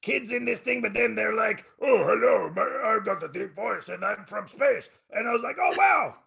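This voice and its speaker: A man voicing a crude, goofy male guest character, raspy and gravelly, cracking lewd jokes and repeating "yeah, yeah, yeah" on a casual podcast. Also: sits high, animated, normal speed, bright, forceful tone, heavily acted. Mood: crude joking.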